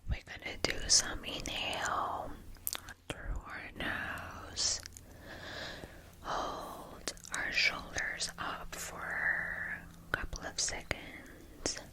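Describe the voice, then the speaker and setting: A woman whispering breathily as an ASMR host, gently guiding relaxation and repeating words and phrases. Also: drawn-out words.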